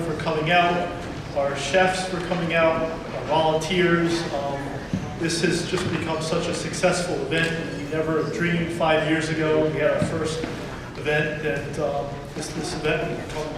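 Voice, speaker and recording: A man, chairman of the board, giving a halting event speech with many fillers. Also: on the high side, noisy background. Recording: echoey hall from far off.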